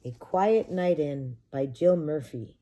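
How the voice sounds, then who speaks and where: Female early-years teacher, soft dark tone, slightly low pitch, expressive storytime delivery aimed at little kids. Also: slow pace. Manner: addresses children directly with simple questions. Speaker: preschool teacher reading to young children. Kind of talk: expressive picture-book read-aloud for children.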